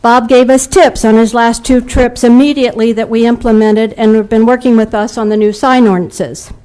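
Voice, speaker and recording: A woman who owns a local shop, reading a prepared statement to a city board in a steady, formal way. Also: a little high, normal speed. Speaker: local business owner speaking at public comment. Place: city commission meeting room.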